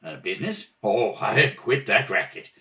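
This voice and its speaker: Man doing a sheepish, stammering pickpocket, lively pitch. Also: moderate pace. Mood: sheepish, nervous. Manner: hesitant, stammering. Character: sheepish, shifty male pickpocket greeting an old pal. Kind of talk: old-time radio play acting. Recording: home kitchen, distant mic.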